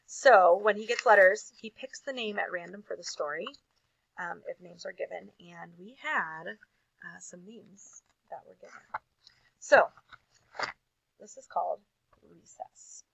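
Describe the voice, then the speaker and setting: A woman reading aloud to children as a teacher, with expressive emphasis and casual asides. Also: medium pitch, a little slow, creaky, no echo, highs cut off.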